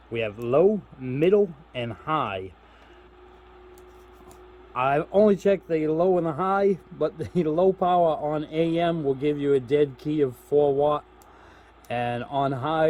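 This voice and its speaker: A man's voice: fairly high pitch, takes it slowly, long pauses, darkish tone, lo-fi sound. A man giving a casual hands-on product demo, explaining step by step in an informal, folksy way. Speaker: host of a radio gear review channel.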